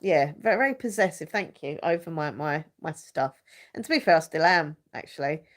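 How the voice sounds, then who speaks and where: A woman's voice: deep voice, animated, normal speed. A young woman with a blocked-up nose, chatting casually and rambling on a live stream. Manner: chatty and rambling, searches for words, many fillers. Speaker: YouTuber hosting a live chat.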